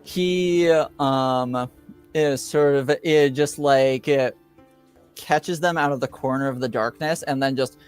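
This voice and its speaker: Slow, halting man narrating a tabletop game. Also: mid-range voice, clean tone, faint music behind. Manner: halting, hesitant, frequent long filler pauses. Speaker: game master.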